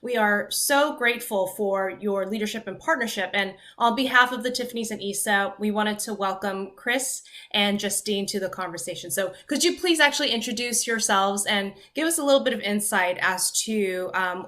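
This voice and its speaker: A woman's voice: mid-range voice, normal speed, hardly any pauses, pushed and bright. A woman hosting a podcast, polite, reading partly scripted introductions and questions. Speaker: podcast host.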